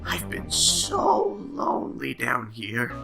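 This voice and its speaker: A man voicing a sinister, taunting, lonely madman in an audio drama, with a breathy near-whisper and slow, teasing, theatrical delivery. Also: medium pitch, wildly swooping pitch, exaggerated voice. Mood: taunting glee. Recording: background music under the voice.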